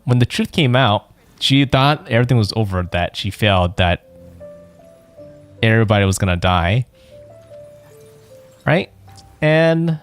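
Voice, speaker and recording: A man giving casual let's play commentary as a streamer, musing in a rambling, questioning way. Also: medium pitch. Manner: rambling, musing, often ends on a questioning "right?". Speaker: streamer playing a game. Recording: game music behind the voice.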